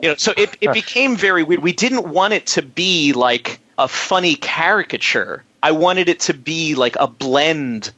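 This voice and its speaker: A man's voice: on the high side, lively intonation, moderate pace, hardly any pauses, sharp tone, dry recording, muffled recording. A man speaking as a musician guest in an interview, telling detailed, chatty anecdotes.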